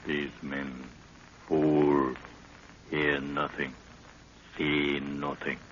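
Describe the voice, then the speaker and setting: Stoic Maasai tracker, halting broken English, extremely deep and unhurried, noisy vintage radio drama recording. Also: male voice, flat delivery, fairly bright tone, dull audio, exaggerated voice. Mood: hushed, steady. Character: loyal Maasai chief's son, stoic fierce tracker servant. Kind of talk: 1930s radio drama acting.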